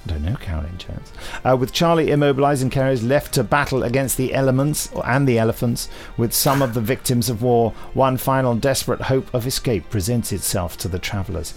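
Guy podcast host, gravelly fry, rambling and wry, music underneath. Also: mid-range voice, a little fast, hardly any pauses. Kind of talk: casual podcast chat with readings.

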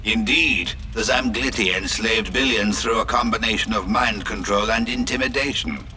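A man's voice: fairly low pitch, normal speed, pushed and bright. A man playing a mild, obliging android who speaks formally and precisely in an audio drama. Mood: informative, courteous. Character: mild, literal-minded, obliging male android companion. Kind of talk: full-cast audio drama acting.

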